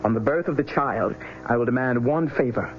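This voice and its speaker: A man's voice: mid-range voice, close to an everyday voice. Man playing an ominous fortune teller, noisy recording. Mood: ominous, insistent. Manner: measured, formal, warning. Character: ominous fortune teller, coolly insistent bargainer. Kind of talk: radio drama acting. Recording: dull, noisy, humming radio recording.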